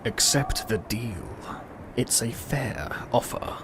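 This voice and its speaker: Radio drama villain, male actor: unhurried apocalyptic being, condescending menace, noisy ambient recording. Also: mid-range voice, animated. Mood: calm, ominous. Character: calm, smug destroyer demon, world-ending evil being. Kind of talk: old-school radio drama acting.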